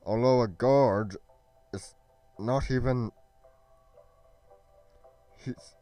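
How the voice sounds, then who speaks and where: Guy acting as earnest fantasy adventurer in a minecraft roleplay, really slow halting delivery, clean smooth voice. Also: medium pitch, warm tone, no room sound, faint music behind. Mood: troubled, resolute. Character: earnest male adventurer musing over royal secrets. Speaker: voice actor in a fan-made Minecraft roleplay. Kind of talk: scripted dramatic roleplay dialogue.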